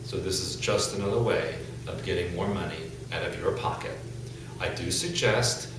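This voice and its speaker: A middle-aged man from the United States giving news commentary in a measured, deliberate, emphatic way. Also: low voice. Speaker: video host, commentator. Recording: echoey, distant mic with loud hum.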